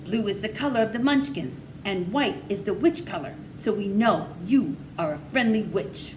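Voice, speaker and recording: A woman's voice: mid-range voice, lively intonation, normal speed, natural voice. A woman voicing a kindly, respectful rich farmer man in a storybook reading. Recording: noisy, distant microphone pickup.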